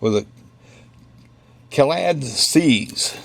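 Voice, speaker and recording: A man's voice: mid-range voice, animated, extremely slow, vocal fry, a little hiss or hum. A man running a comic shop, talking casually through the week's new comics with offhand joking asides. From United States. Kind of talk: casual product run-through. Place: comic book store.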